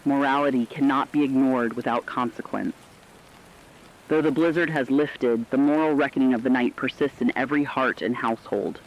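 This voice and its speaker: A man's voice: medium pitch, fairly even intonation, moderate pace, highs cut off, lightly acted. A man playing a radio anchor who solemnly addresses listeners in formal, portentous scripted horror narration. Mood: solemn, warning. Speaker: radio host.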